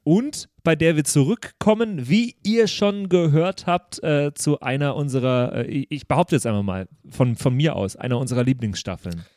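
A man's voice: a little high, normal speed, hardly any pauses, a little mellow, no echo, studio quality. A man hosting a casual podcast introduction, chatting at a table with others. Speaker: podcast host. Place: several people at one table.

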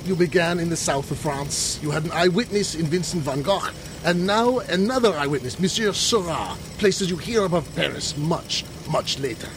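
A man's voice: a little high, animated, moderate pace, hardly any pauses, noisy background. A man playing a grandiose, confident time-travelling inventor with precise, formal, theatrical speech in an old-time radio adventure. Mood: suspicious.

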